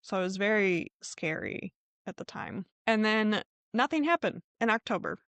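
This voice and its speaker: A woman's voice: mid-range voice, animated, moderate pace, fairly bright tone, dry recording. Casual woman podcast storyteller, close and dry. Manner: informal, conversational, hedging as she retells. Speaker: podcast host.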